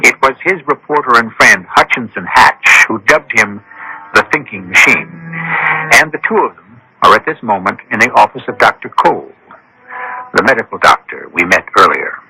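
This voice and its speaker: An older man hosting a radio mystery, narrating in a deliberate, wry, theatrical storyteller's manner. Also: on the low side, sing-song, creaky, sharp tone, slight background noise, rough recording.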